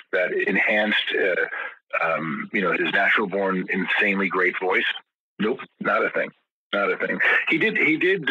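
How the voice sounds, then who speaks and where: Husky, low-voiced man telling stories. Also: sharp tone, no room sound, muffled recording. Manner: rambling, many restarts and repeated words. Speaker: guest musician. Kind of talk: casual interview storytelling.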